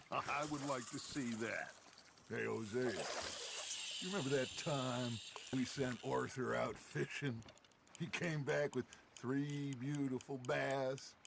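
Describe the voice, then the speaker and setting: An actor playing a confident, genial middle-aged gang leader in a western game, theatrical and teasing. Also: male voice, mid-range voice, fairly even intonation, slow. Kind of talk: cinematic western game acting. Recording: clear outdoor ambience noise behind.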